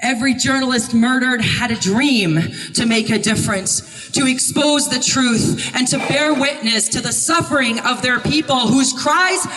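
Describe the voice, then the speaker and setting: Female activist speaker, solemn and declamatory, emphatic pauses, protest address; reverberant, distant pickup. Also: high voice, fairly bright tone. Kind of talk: prepared protest speech. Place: public protest outside media offices. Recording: reverberant, amplified voice from far off.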